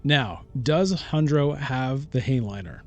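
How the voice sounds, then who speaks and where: Slow-paced man thinking aloud over a game. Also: mid-range voice, expressive, darkish tone, dull audio, soft background music. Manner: halting, deliberating, self-questioning. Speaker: gamer, let's-play host. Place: solo recording of a digital board game playthrough.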